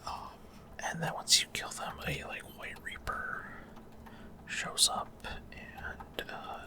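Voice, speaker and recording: Whispering man, rambling game commentary. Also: takes it slowly. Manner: rambling, hesitant, trailing off mid-thought. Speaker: gaming ASMR creator. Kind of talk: whispered ASMR gameplay commentary.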